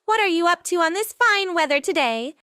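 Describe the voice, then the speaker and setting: A woman's voice: extremely high pitch, expressive, brisk, sharp tone, no room sound, clean studio sound, heavily acted. A woman voicing a cheerful, friendly character in a home-made storybook play, sweet and chatty.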